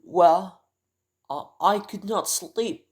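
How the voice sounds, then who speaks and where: A man's voice: sits high, extremely slow, husky, voice pushed up, exaggerated voice. A man voicing a cunning, defiant lady of the manor in a melodramatic radio drama, theatrical and indignant. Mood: defensive.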